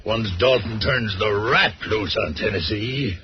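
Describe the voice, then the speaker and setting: A man's voice: fairly low pitch, a little slow, lightly acted. Male actor as cold outlaw leader, western American drawl, bossy, folksy menace, vintage radio drama, muffled, hissy sound. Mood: scheming. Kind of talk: old-time radio western acting.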